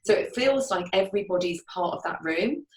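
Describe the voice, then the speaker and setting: A woman's voice: medium pitch, lively intonation, fairly quick, a little mellow. Enthusiastic woman in an echoey room. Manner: enthusiastic, laughs often. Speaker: guest, networking event organizer. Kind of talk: casual video-call chat. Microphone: video call. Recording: roomy echo, distant from mic.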